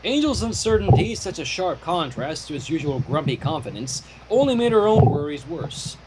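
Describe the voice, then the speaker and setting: A man reading fan fiction aloud in an expressive way, with casual asides. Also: on the high side, normal speed. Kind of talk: story narration with casual commentary. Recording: home microphone with clear noise.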